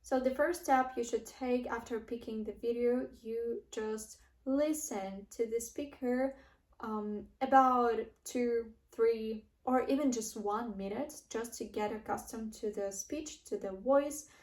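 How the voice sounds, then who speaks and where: A young woman with a Russian accent explains an English-learning method in an unhurried, earnest way, pausing on fillers and often starting her sentences again. Her voice sits a little high and her pace is slow. The recording carries a noticeable echo from the room. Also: darkish tone. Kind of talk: casual teaching monologue to camera. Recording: echoey room.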